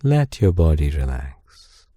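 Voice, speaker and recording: Very dark, mellow man narrating sleep meditation. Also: extremely low pitch, sing-song, dry recording, studio quality. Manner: soothing, gentle, unhurried. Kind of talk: slow guided meditation and bedtime story narration. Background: soft music.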